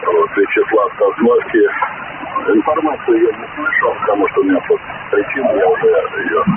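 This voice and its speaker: A man's voice: on the low side, moderate pace. Man on a very noisy radio link. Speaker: amateur radio participant. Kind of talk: casual radio check-in. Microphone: two-way radio microphone. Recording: very noisy, phone-like two-way radio transmission.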